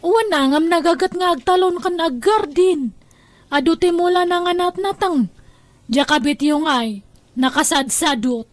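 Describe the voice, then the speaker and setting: A woman plays a young working daughter in an Ilocano audio drama, with a very high voice. She has an Ilocano Filipino accent and an offhand, self-indulgent manner. Her talk is dotted with drawn-out hums and casual brush-offs. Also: lively intonation. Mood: defensive.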